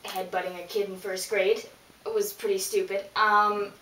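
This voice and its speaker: A woman playing a male rock singer in a mock interview, halting, deadpan and rambling. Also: medium pitch, close to an everyday voice. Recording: roomy, distant mic, faint noise.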